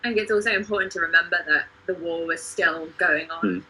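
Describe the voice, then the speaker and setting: A woman speaks in a casual group discussion. Her voice sits a little low for a woman and is strikingly bright, with a husky, breathy edge. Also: fairly even intonation, a little fast, low-quality recording. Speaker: theatre company performer leading discussion.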